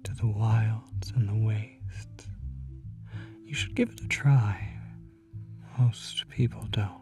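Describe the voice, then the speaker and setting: A performer voicing a teasing, smooth-talking demon in an audio roleplay, murmuring slowly and condescendingly to the listener. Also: mid-range voice, distant mic, music in the background. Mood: smooth, musing. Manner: slow, drawn-out, breathy murmuring, teasing.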